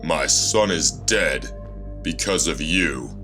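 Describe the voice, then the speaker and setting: Man acting a very deep, grim vigilante. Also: animated, slow pace, full character voice. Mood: angry accusing. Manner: stern, accusatory. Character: grim vengeful male caped vigilante, bitter and accusing. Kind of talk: dramatic fan fiction audio acting. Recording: loud music under the voice. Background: loud music.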